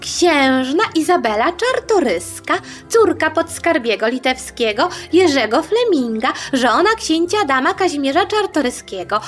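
A woman plays a proud princess putting someone in their place, with a raised, bright voice. She declaims with indignant self-assurance and lively swings, in storybook style. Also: high voice, voice pushed up, exaggerated voice. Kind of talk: storybook character voice.

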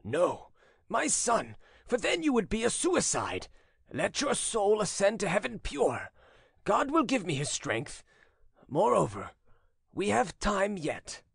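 An anguished old fisherman past eighty, as a male narrator acts him out, pleads with mournful tenderness in a dramatic audiobook. The voice is high and raspy, and it swings widely in pitch with heavy emphasis. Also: very animated, unhurried, dry recording, clean studio sound, full character voice. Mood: solemn. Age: 80s. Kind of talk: dramatic audiobook character reading.

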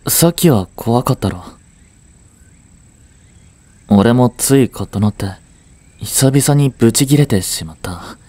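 A man's voice: mid-range voice, animated, unhurried, pauses often, dark tone, no room sound. A man acting an aloof, blunt but devoted young boyfriend in a romantic audio drama, curt and intimate. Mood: apologetic, tender.

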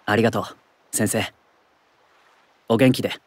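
An actor playing an earnest, reserved schoolboy in an anime audio drama, speaking softly and hesitantly. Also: male voice, lowish voice, fairly even intonation, a little slow, dry recording, studio quality. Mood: shy, earnest.

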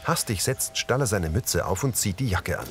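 A man's voice: on the low side, lively intonation, normal speed, fairly bright tone, a little hiss or hum. A man reading scripted audio description that narrates on-screen action.

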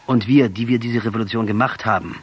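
An actor playing a defiant, ironic revolutionary commander in a radio drama, speaking emphatically and rhetorically. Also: male voice, lowish voice, steady tone, fast talker, husky.

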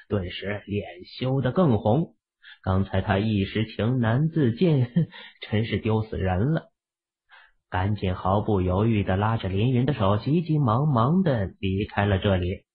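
A man's voice: lowish voice, husky. A man narrating fiction from a script as an audiobook storyteller. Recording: phone-like, band-limited audio.

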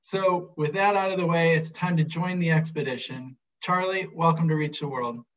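A man's voice: a little high, normal speed, a bit bright, highs cut off. Upbeat man hosting, distant call audio. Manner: upbeat, welcoming. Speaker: host. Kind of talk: scripted live stream introduction. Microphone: video call.

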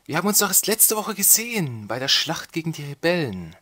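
An actor playing a flippant, bumbling medieval lord in a comedic audio drama, talking casually and sarcastically. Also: male voice, a little high, sing-song, fairly quick, bright, forceful tone, heavily acted. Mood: casual, sarcastic.

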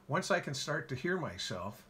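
A man calmly walking through his ham radio station setup in a casual how-to, with lots of filler words. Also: on the high side, moderate pace, slight background noise. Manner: relaxed, folksy, frequent "uh" fillers. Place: home radio shack by a window.

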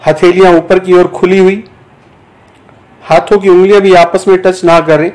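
A man giving guided yoga nidra instruction as a teacher, in measured, deliberate short phrases with pauses between them. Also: sits high, level tone, darkish tone, low-quality recording. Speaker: yoga teacher guiding a meditation.

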